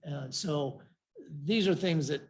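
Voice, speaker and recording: Council chair, male, slightly high voice, halting and repetitive. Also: darkish tone. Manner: hesitant, many restarts and fillers. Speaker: city council chair running a meeting. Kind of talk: halting meeting talk.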